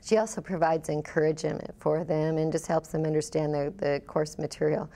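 Female instructor, somewhat low and mellow, explaining classroom matters at a measured pace. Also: steady tone. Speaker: college instructor. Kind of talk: explanatory talk about a teaching program.

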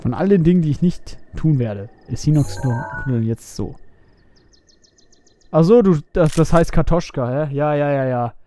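A man's voice: on the high side, lively intonation, moderate pace, warm tone. A man giving casual, playful live commentary as he streams a video game and chats with viewers.

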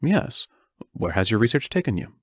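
A serious male teacher played by an actor in an audio play. His voice is on the low side and recorded dry and close. He is cautious and protective, choosing his words formally. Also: very animated, breathy edge, no echo. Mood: instructive. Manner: measured, formal, careful phrasing. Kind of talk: audio drama acting.

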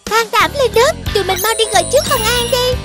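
A woman's voice: very high-pitched, fast. A woman voicing a kind, encouraging little helper creature in a children's cartoon, childlike and instructive. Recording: music behind the voice.

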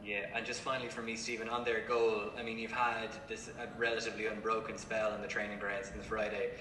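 A man's voice: lowish voice, flat delivery, quick pace, background noise. A male journalist asking a pointed question at a press conference. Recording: distant voice in a reverberant room.

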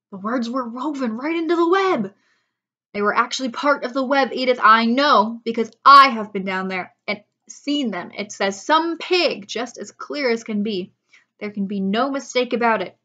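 Woman acting an earnest, awestruck farmer, forcefully emphatic. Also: on the high side, muffled recording. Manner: solemn, emphatic, insistent. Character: earnest, awestruck middle-aged farmer husband.

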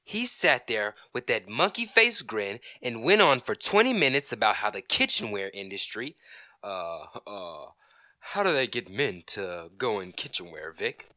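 A man's voice: fairly low pitch, sing-song, a little slow, pushed and bright, no room sound, studio quality, exaggerated voice. A man playing an indignant, stubborn middle-aged husband in an old-time radio comedy, blustering, sarcastic and emphatic. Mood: outraged.

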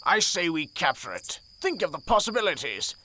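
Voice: medium pitch, very animated, normal speed, pushed and bright. An actor voicing a bossy, scheming little filly in a cartoon parody, formal and commanding.

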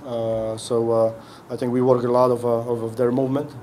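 A middle-aged man speaks as a head coach at a press conference podium, his voice dark and mellow. He talks haltingly, restarting phrases as he hunts for words. Faint background noise sits under the podium mic. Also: medium pitch, little pitch movement. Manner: halting, many restarts while searching for words. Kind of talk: post-game press conference answers. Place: indoor press room. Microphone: podium mic on a stand.

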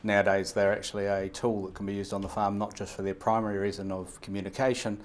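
A man's voice: lowish voice, flat delivery, slight background noise. A man in his fifties with a New Zealand accent, giving long, run-on answers full of fillers and restarts in an interview, recorded on a lapel mic in a showroom. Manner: fast, run-on explanations with many fillers and restarts. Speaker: radio equipment supplier. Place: indoor showroom.

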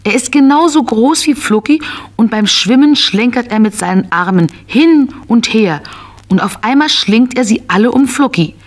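A woman narrating a scripted children's audio story in an expressive storytelling manner. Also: a little high, moderate pace.

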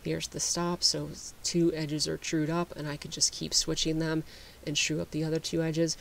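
A man narrating a woodworking how-to video in a relaxed, explanatory way, often restarting his sentences. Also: on the high side, normal speed, creaky, slight background noise. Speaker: woodworking channel host.

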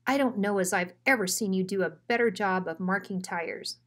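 A woman's voice: mid-range voice, normal speed, fairly bright tone, close to an everyday voice. A woman voicing a self-assured male dog who leads in a children's story, emphatic and commanding. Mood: cocky, commanding. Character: cocky, bossy male dog, storybook leader.

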